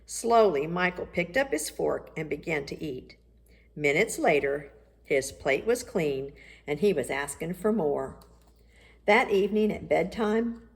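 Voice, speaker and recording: A woman reading a children's storybook aloud as an expressive narrator. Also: lowish voice. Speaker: audiobook reader.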